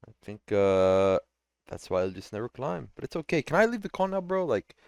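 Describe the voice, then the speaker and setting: A man trading mocking, deadpan taunts on a voice call. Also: mid-range voice, brisk, creaky, smooth, dry recording, clean studio sound. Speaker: streamer. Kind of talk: trolling banter on a voice call.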